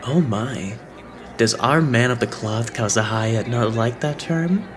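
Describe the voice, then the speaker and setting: A man's voice: medium pitch, takes it slowly, crowd noise, lightly acted. A man voicing a suave, slyly playful young idol leader in an anime fandub, composed and teasing.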